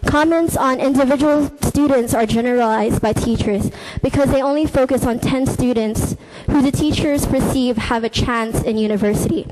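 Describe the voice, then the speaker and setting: A woman's voice: sits high, normal speed, hardly any pauses, a little mellow, slight background noise, rough recording. A young woman, a university student, reading out earnest, critical testimony at a committee hearing through a desk microphone. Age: young adult. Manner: earnest, critical, read-out delivery. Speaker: student deputation speaker. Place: legislative committee meeting room.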